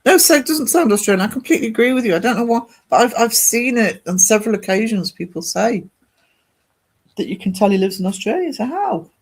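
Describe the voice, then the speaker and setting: A man's voice: sits high, expressive, quick pace, breathy edge. A man with a British English accent chatting casually and ramblingly with livestream viewers, reading and answering their comments. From northern England. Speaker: livestream host.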